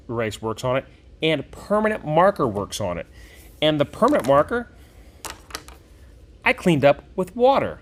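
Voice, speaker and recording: A man giving a casual hands-on product review, enthusiastic and folksy, thinking out loud as he goes. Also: medium pitch. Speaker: game shop owner and product reviewer. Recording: clearly noisy room recording.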